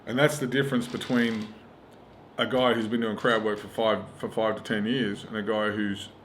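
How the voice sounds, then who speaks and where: A man's voice: fairly low pitch, flat delivery, normal speed, a little hiss or hum. A middle-aged Australian man, a stand-up comedian, chatting casually and swearing freely as he tells emphatic stories. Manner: profane, emphatic storytelling with acted-out quotes. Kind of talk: casual chat.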